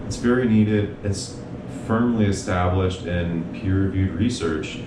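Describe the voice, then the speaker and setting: A young man, a mental health provider, telling his story in an earnest, measured way as an interview guest. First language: English. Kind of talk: reflective interview talk. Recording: echoey room, distant mic, noisy rumble.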